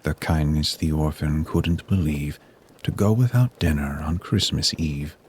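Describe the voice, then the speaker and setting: A man storyteller reading a rhyming Christmas poem in a solemn, measured way. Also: extremely low pitch, a little hiss or hum. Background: music.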